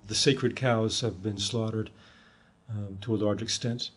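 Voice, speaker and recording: Low-voiced, thoughtful man from the States. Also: fairly even intonation. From United States. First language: Hebrew. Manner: thoughtful, halting, many restarts and fillers. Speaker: interviewee. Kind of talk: documentary interview.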